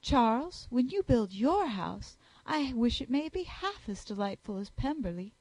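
A woman reading aloud, voicing a snobbish, flattering young society lady in a gushing manner. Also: on the high side, unhurried, no room sound, heavily acted, poor audio. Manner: gushing, fawning. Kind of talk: audiobook character voice.

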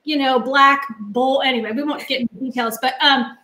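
A chatty middle-aged woman talks through a cooking demo from her home kitchen, rambling along with plenty of ums. Her voice sits fairly high. It comes over a webcam on a video call and bounces around an echoey room. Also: fairly even intonation, moderate pace, pushed and bright. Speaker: guest cook. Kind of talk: casual cooking demo chat. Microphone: laptop webcam on a video call.

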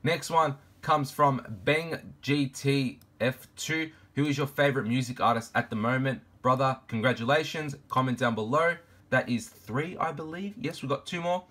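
A man of twenty-two, vlogging casually to camera in his bedroom, laid-back and slangy, his voice deep from just waking up. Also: moderate pace, hardly any pauses, fairly bright tone. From Argentina, raised in Australia. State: deep morning voice, just woke up. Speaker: fashion YouTuber. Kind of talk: casual vlog Q&A. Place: bedroom with shoe shelves, indoors.

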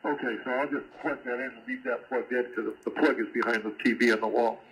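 A man chats casually over shortwave radio. His voice is medium in pitch, carried through a small speaker with a light hiss of static. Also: fairly even intonation, quick pace, fairly bright tone, poor audio. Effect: shortwave single-sideband radio. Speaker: amateur radio operator. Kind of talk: casual on-air chat.

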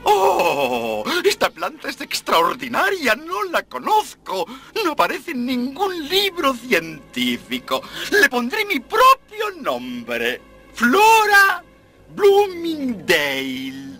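High-pitched, excitable old cartoon professor. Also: male voice, takes it slowly, faint music behind, heavily acted. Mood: excited delight. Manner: pompous, exclamatory, fussy. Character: eccentric, excitable elderly male English botanist professor. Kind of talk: animated cartoon dub acting.

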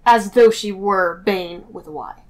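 A woman's voice: medium pitch, a little slow, a little mellow. A woman chatting casually as a player in a tabletop game, with a wry manner.

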